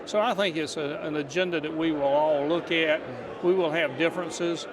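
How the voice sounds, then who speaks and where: A male state senator, answering an interview in a measured, diplomatic way. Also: on the high side, steady tone. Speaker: state senator, party leader. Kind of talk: political interview response. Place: large indoor statehouse lobby. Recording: noisy public lobby ambience.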